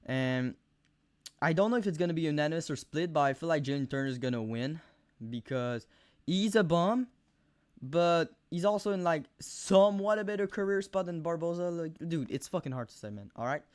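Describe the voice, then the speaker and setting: A young man with a thick Quebec French accent giving rambling, profane fight predictions on camera, full of fillers and sudden animated outbursts. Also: fairly high pitch, fairly quick, clear, resonant tone, no echo, clean studio sound. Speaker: MMA video host. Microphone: camera mic, close.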